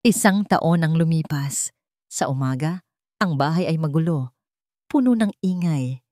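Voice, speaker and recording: Woman narrating a romance story, dramatic and very lively, dry voice. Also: deep voice, unhurried, dry recording. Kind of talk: scripted narration.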